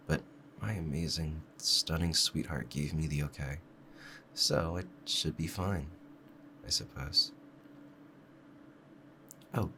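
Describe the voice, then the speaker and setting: A man playing a doting, obsessive kitsune husband in an intimate ASMR roleplay, speaking softly and clingily to the listener. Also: very deep voice, slight background noise, exaggerated voice. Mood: clingy, hesitant. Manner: soft, clingy, adoring, hesitant.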